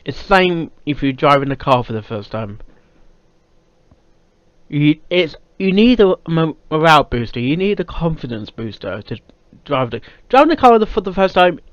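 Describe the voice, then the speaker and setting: British male reaction host, highish lively voice, halting and repetitive delivery, dull lo-fi mic. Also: no echo. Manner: halting, repeats words and phrases. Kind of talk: casual off-the-cuff reaction commentary. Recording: dull lo-fi microphone sound.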